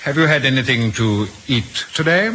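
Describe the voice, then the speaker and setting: Pompous, theatrical Victorian doctor, swooping delivery. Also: male voice, mid-range voice, expressive, normal speed, sharp tone. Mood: solicitous, wounded. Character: vain, boastful Victorian doctor, florid and self-important. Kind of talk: 1940s radio drama acting. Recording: old radio broadcast, roomy, hissy.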